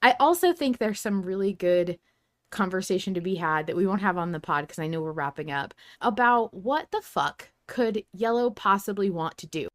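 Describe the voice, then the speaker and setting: Woman, podcast host, casual fan talk, medium pitch, animated theorizing, dry close recording. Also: moderate pace, no echo.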